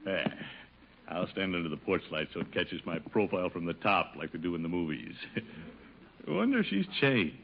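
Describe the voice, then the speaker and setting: An actor playing a pompous, bashful middle-aged American suitor in a radio situation comedy, hamming it up with chuckles and muttered asides. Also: male voice, low-pitched, very animated, normal speed, gravelly, exaggerated voice. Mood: smitten, musing. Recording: muffled, hissy 1950 radio broadcast.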